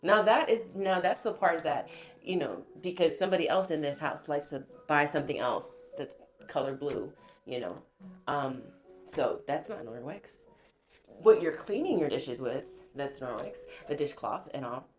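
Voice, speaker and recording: A woman from American Samoa talks in a loose, chatty way with plenty of fillers, her cough showing now and then. Her voice sits on the low side but swings widely in pitch. Faint music plays softly behind her. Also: expressive, normal speed. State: sick, coughing. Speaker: host. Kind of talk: casual chat. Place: home.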